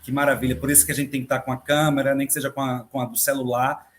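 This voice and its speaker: A man's voice: medium pitch, level tone, racing. Man with faint background noise. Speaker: filmmaker and writer. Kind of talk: online panel discussion.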